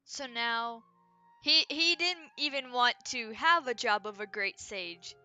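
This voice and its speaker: A woman's voice: fairly high pitch, takes it slowly, bright, forceful tone, smooth. A woman streamer giving casual, chatty, informal commentary while she plays a game. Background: game music.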